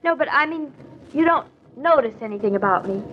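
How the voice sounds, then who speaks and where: An actress playing a naive, talkative young expectant wife in an old-time radio drama, artless and questioning. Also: female voice, high-pitched, lively intonation, brisk, a little hiss or hum. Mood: fishing, hurt. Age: young adult. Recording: dull, lo-fi old radio recording.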